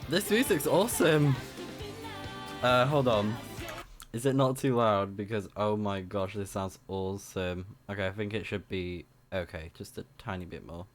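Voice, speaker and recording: A young man with a fairly low, slightly scratchy voice streams a video game. He thinks aloud in a casual, enthusiastic way, with faint game music behind him. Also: moderate pace. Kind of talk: casual live Let's Play commentary.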